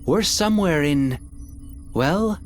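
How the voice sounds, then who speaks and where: An expedition leader in a sci-fi game. She is composed and authoritative, with a widely varied intonation. Faint music plays behind her measured speech. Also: deep voice, lively intonation, darkish tone. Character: composed expedition leader taking charge of a crew. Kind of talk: video game cutscene acting.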